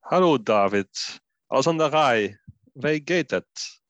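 A man from Belgium, a guest interpreter on a podcast, talking casually and haltingly, full of fillers and restarts. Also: mid-range voice, animated, dry recording. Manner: hesitant, many fillers and restarts. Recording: dull, lo-fi, dry sound.

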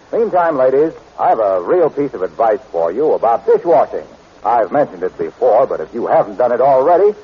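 A man working as a 1940s radio announcer, reading a commercial as a folksy sales pitch in a husky voice. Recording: dull old radio recording.

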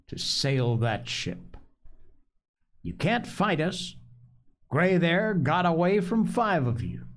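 A man's voice: a little high, pitched above the performer's own voice. A man voicing a stern, commanding old sea captain who gives firm, clipped orders.

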